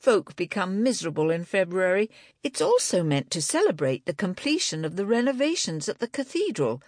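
A woman's voice: mid-range voice, big pitch swings, fairly quick, no echo, studio quality, voice pushed up. A woman narrator voicing an earnest, peacemaking woman who knits, in an audiobook. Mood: explaining. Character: earnest woman knitter, apologetic peacemaking friend.

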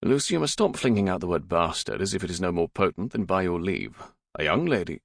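Deep gravelly father figure done by a man, middle-aged, dry weary reproach, audiobook drama. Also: lively intonation, fast talker, dry recording, exaggerated voice. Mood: stern. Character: stern but fond middle-aged father, former patriot raider.

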